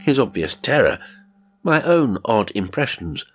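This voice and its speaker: A man's voice: mid-range voice, very animated, a little slow. A man narrating a first-person horror story in a dramatic audiobook style.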